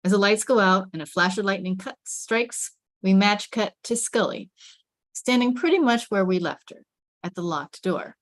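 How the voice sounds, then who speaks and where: A woman's voice: medium pitch, animated, normal speed. A woman narrating the scene and camera directions of a script at a table read. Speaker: stage directions reader.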